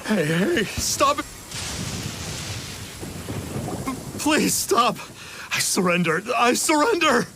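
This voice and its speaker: An actor playing a pompous, cowardly charlatan in a video game, with a theatrical, easily flustered manner. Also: male voice, a little high, sing-song, measured pace, noisy background. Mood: panicked, pleading. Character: pompous, cowardly male charlatan posing as an immortal adept. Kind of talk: animated video game voice acting.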